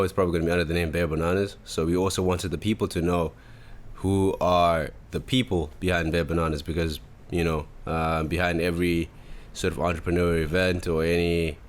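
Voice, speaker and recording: A man's voice: low voice, little pitch movement, moderate pace. A man, hosting a podcast in a casual, rambling, slangy way. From Zimbabwe. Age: 20s. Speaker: podcast host. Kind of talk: casual chat.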